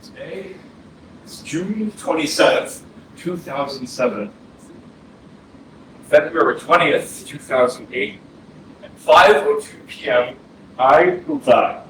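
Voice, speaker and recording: A man playing the wistful ghost of a fourteen-year-old boy in a staged reading, halting and poetic with pauses. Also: a little high, animated. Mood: dreamy, foreboding. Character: dead fourteen-year-old boy's ghost, dreamy, wistful would-be filmmaker. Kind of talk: staged reading of a play, monologue. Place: indoor arts center stage, recorded from afar. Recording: very echoey, distant hall, clear noise, processed.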